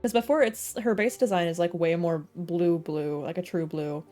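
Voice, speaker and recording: A woman's voice: lowish voice, fairly even intonation, normal speed, warm tone. A woman live-streaming a video game, chatting casually in a rambling, reactive way. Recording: game music behind the voice.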